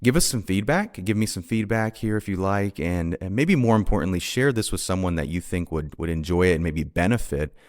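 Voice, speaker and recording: A man with a very low, deep voice hosts his own podcast. He talks off the cuff, looping back and repeating words as he gathers his thoughts. His tone stays earnest and reflective. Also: expressive, a little fast, few pauses, darkish tone. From Honduras. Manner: unscripted and rambling, with frequent repeated words and restarts. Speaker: podcast host. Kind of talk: solo podcast monologue.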